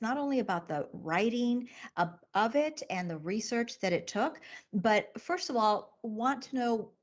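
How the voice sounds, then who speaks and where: A woman guest-hosting an interview show, effusive and full of praise for her guests. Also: medium pitch. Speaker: guest host.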